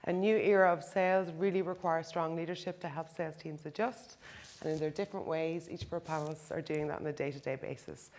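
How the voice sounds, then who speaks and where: A woman's voice: sits low, steady tone, a little fast, darkish tone, a little hiss or hum. Woman moderating a conference panel. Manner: upbeat, polished introductions. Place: conference stage.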